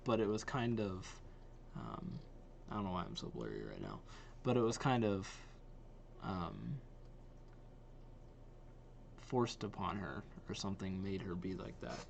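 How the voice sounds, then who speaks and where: A man's voice: low-pitched, very animated, measured pace, gravelly, long pauses, slight background noise. A young adult man chatting casually as a reaction video host, slangy and thinking aloud. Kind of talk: casual chat, reacting to game trailers.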